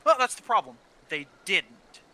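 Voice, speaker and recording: A man with a fairly high, very bright and sharp voice talks casually over a game. He is opinionated and sweary, often stumbling into repeated words as he argues. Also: sing-song, unhurried. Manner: frequent swearing, heated and opinionated. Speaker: gaming channel co-host. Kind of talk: casual gameplay chat about story.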